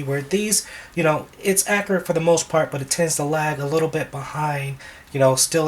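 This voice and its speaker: Slightly hoarse man rambling about DJ gear. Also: medium pitch, a little hiss or hum. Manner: relaxed and rambling, many ums and restarts. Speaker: wedding DJ reviewing gear. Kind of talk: casual gear review.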